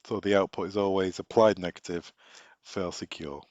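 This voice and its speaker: Low-voiced male trainer in an echoey room. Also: fairly even intonation, moderate pace, a little mellow. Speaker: technical trainer. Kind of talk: instructional explanation of wiring diagrams. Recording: dull, echoey room recording.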